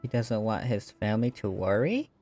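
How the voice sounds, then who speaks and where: A man hosting a gaming video, casually commenting and reading dialogue aloud in a halting way. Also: lowish voice, big pitch swings, warm tone. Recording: game music playing underneath.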